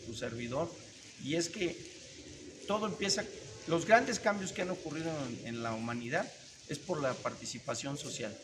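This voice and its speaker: A man's voice: on the high side, lively intonation, normal speed, background noise. A man with a Mexican accent, answering interview questions in earnest, repeating key words for emphasis. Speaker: interview guest, businessman. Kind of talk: casual interview.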